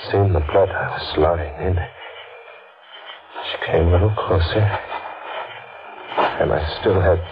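An actor playing a bitter, desperate, dying killer in a 1940s radio thriller, speaking in terse hard-boiled phrases. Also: male voice, deep voice, slow, pauses often. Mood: grim, tense. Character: dying male killer, bitter and desperate, narrating his end. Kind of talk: 1940s radio thriller acting, first-person narration. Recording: noisy, muffled old radio broadcast.

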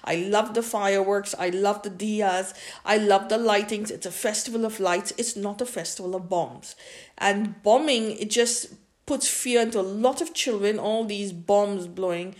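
A woman's voice: mid-range voice, normal speed. Emphatic woman podcaster. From India. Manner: emphatic, repeating phrases for stress. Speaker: podcast host. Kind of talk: opinion monologue, informal podcast.

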